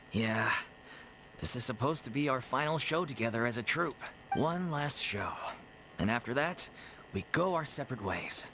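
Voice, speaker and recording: A man's voice: mid-range voice, a bit bright, slight background noise, rough recording. A man playing an earnest, downhearted young amateur theater troupe member in an anime video game, polite and hesitant. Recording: music behind the voice.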